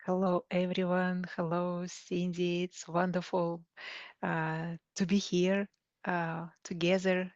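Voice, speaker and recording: A woman with a Russian accent speaks slowly in a fairly low voice, pausing to search for words as she answers interview questions. Her pitch stays even, and the tone is conversational. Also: no echo, dull audio. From Siberia, Russia. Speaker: coach, interview guest.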